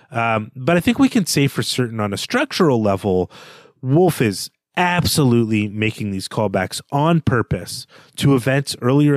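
A man co-hosting a literary podcast, talking through a novel in a measured, analytical way. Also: mid-range voice, no echo. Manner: measured, analytical, slowing for read-aloud passages. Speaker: podcast co-host. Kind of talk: casual literary discussion.

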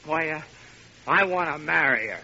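Super polite young boyfriend on 40s radio sitcom, earnest, formal, bright voice, muffled old recording. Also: male voice, on the high side, expressive, normal speed, creaky, exaggerated voice. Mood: earnest, nervous. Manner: deferential, formal. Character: earnest, overly polite twenty-one-year-old suitor. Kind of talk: 1940s radio sitcom acting. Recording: dull vintage radio broadcast.

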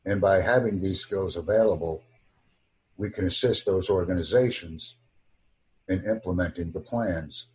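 A man teaching an online English lesson, speaking English natively in slow, formal, measured short phrases. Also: deep voice, darkish tone, slightly rough, low-quality recording. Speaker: English tutor.

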